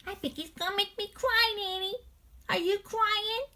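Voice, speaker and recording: A woman's voice: very high voice, fairly even intonation, normal speed, bright, forceful tone, voice pushed up. A woman voicing a childlike stuffed toy dog who is earnest and wide-eyed in a children's story. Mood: tearful, anxious. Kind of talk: character reacting to a children's story.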